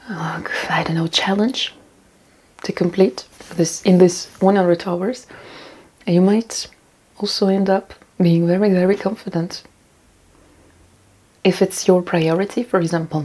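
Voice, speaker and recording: Woman, husky, relaxed tone, casual vlog advice, rambling, repetitive for emphasis. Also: mid-range voice. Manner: rambling, repeats phrases for emphasis. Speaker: self-improvement vlogger. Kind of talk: casual talk, giving tips and reading out comments.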